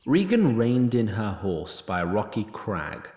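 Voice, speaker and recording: Lively wry male narrator, roomy echo. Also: fairly low pitch, unhurried. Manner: wry, literary. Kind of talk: scripted story narration.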